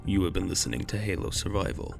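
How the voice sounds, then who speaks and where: Male audio drama narrator; low pitch, animated intonation, descriptive scripted storytelling. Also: fast talker, creaky, over music.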